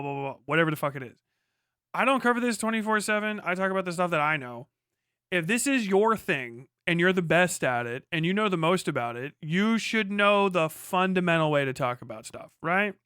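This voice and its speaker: Male streamer, bright forceful tone, clean crisp voice, blunt slangy reaction commentary with plenty of swearing. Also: sits high, no room sound, clean studio sound. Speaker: livestream host reacting to a debate. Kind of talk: casual, profane live commentary.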